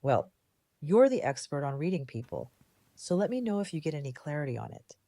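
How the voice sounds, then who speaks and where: A woman playing a composed, decisive community leader in an audio drama, speaking in a measured, diplomatic way. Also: sits low, very animated, mellow, no echo, exaggerated voice. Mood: thoughtful, assured. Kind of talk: fan audio drama dialogue.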